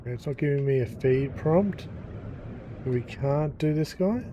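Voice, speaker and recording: A man's voice: medium pitch, unhurried, mellow, muffled recording, slight background noise. A man, talking to himself casually as he plays a video game. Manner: chatty, talking to himself while playing. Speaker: gaming YouTuber. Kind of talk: casual gameplay commentary.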